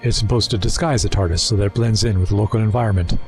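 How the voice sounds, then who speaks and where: A man's voice: on the low side, very fast, darkish tone, soft background music, close to an everyday voice. Wry, mocking actor as a male alien schemer. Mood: mocking, chatty. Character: sly, talkative male alien time traveller, the Doctor's rival. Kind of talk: full-cast audio drama acting.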